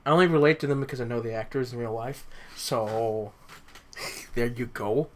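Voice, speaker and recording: Young guy cohosting a podcast, normal pitch, chatty, kinda halting and repeats words. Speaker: podcast co-host. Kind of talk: casual chat reviewing audio dramas.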